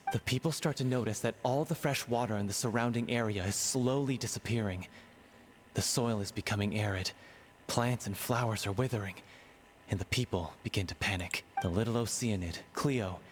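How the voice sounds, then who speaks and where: A man playing an excitable playwright in an anime-style game, with a dramatic, expressive storyteller's manner. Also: fairly low pitch, a little hiss or hum, natural voice. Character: excitable, theatrical male playwright. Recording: faint music under the voice.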